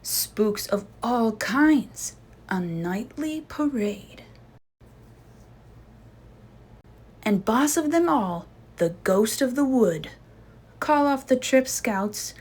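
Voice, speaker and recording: A woman voicing a sly, blustering father bear in a children's storybook, giving dramatic, drawn-out warnings. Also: fairly high pitch, lively intonation, a little mellow, breathy edge, heavily acted. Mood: ominous warning.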